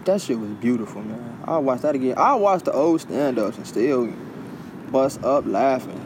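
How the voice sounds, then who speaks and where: A man's voice: medium pitch, lively intonation, moderate pace, noisy background. A man co-hosting a podcast, chatting casually and agreeably, often echoing what his co-host says. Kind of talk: casual chat.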